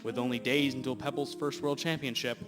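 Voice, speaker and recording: A man's voice: medium pitch, fairly even intonation, a little fast. A man narrating a scripted comic radio drama in a mock-dramatic storytelling manner. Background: music.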